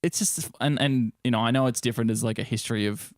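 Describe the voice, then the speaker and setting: A man's voice: medium pitch, quick pace, no room sound, studio quality. A young Australian man co-hosting a gaming podcast, rambling and swearing casually in a laddish way. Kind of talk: casual banter.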